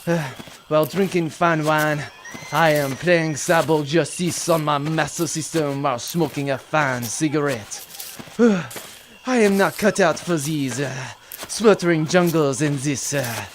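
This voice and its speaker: A man's voice: a little high, measured pace, slight background noise, natural voice. A man playing a grumbling, out-of-shape French engineer and gamer with a French accent in a comic audio drama. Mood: whiny complaining. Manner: grumbling, complaining.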